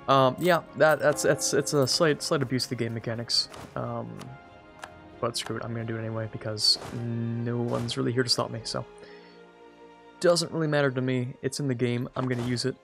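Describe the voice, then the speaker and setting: A man's voice: medium pitch, fairly bright tone. A young man hosting a strategy game let's-play, his voice slightly strained, chatting casually and talking to the computer rivals as he plays. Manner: chatty, self-deprecating, talks to the computer rivals. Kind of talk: casual gameplay commentary.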